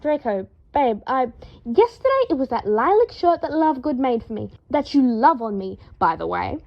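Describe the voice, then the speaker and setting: A woman reading aloud, voicing a gentle, sheepish young wizard man who mumbles softly to placate. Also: high voice, very animated, moderate pace, creaky, dull audio, exaggerated voice. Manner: soft and mumbling.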